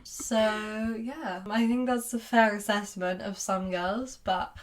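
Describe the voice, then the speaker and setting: A woman's voice: medium pitch, steady tone, takes it slowly. A woman co-hosting a podcast over a remote connection, leading the topics in a breezy, giggly way. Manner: breezy, giggly, leads the segments. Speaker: podcast co-host. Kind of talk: casual chat. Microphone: remote call setup.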